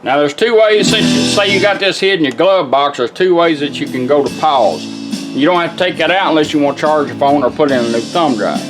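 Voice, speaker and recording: Folksy man with a higher-pitched voice. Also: brisk, music in the background. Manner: plainspoken, folksy, step-by-step instructing. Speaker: product demonstrator and car radio rebuilder. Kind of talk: casual hands-on product tutorial.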